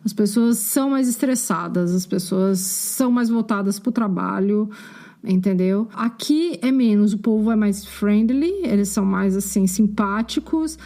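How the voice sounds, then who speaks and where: A Brazilian woman with a Brazilian accent, a podcast guest chatting casually and frankly, animated and quick to laugh. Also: mid-range voice. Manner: animated, frank, swears when annoyed, laughs easily. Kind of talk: casual chat.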